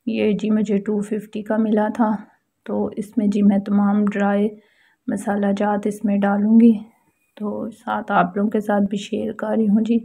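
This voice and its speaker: A woman's voice: mid-range voice, monotone, unhurried, vocal fry, dark tone, slightly rough. A woman with a Pakistani accent giving a casual how-to voice-over as a home vlogger, explaining things politely and repetitively.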